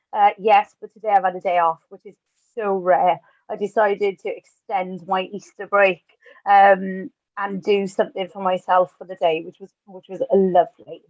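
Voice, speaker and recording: A woman's voice: medium pitch, fairly even intonation, moderate pace, no echo, lo-fi sound. A Welsh woman in her thirties, telling her own story in a chatty, self-deprecating way at an online event, with light asides. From Wales. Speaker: webinar speaker. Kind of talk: personal talk at an online event.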